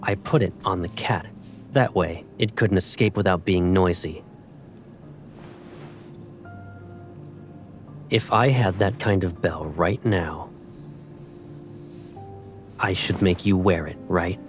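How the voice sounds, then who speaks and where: A young military colonel in a dramatic game cutscene, controlling and protective. His low voice is intimate and tightly controlled, with brooding intensity over prominent music. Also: measured pace. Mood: quietly menacing.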